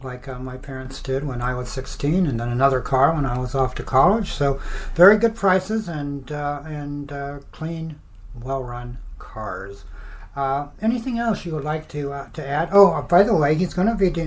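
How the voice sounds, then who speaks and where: A radio host chatting and promoting in a conversational, halting way. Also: medium pitch, expressive. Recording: clear background noise.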